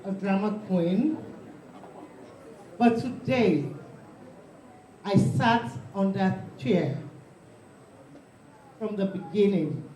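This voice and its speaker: A woman's voice: mid-range voice, expressive, drawn-out words, long pauses, warm tone. A woman with a Nigerian accent giving an emphatic, impromptu tribute speech. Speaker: guest speaker from the film industry. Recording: echoey hall, distant dull microphone.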